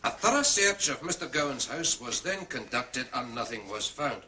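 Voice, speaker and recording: Casting: dutiful, easily flustered Scottish policeman, forceful bright tone, radio drama, slight background noise. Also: medium pitch, big pitch swings, normal speed, rough recording. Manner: formal report phrasing, defensive.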